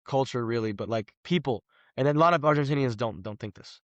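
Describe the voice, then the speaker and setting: A man's voice: mid-range voice, fairly quick, no echo, dull audio. A man in his twenties from the United States, a podcast guest talking casually in an emphatic, rambling way full of "like" fillers. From United States, Los Angeles. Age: 20s. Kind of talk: casual conversation.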